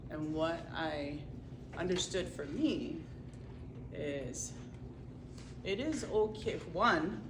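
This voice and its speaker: A speaker tells a personal story slowly, hesitant and earnest with many pauses and restarts. The voice sits far from the mic in a roomy space. Steady background noise runs beneath. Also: medium pitch. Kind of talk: personal testimony. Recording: roomy, distant, noisy room recording.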